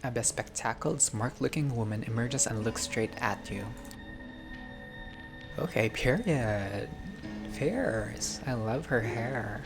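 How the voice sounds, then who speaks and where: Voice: medium pitch, animated, slow. Game playthrough narrator over music. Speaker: gaming content creator reading a visual novel aloud. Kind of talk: playthrough narration with casual reactions. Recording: music behind the voice.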